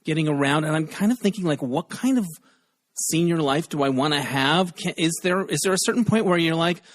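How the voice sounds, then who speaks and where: Higher-voiced man in his sixties, podcast host. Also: fairly quick. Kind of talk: casual conversational interviewing. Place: video call.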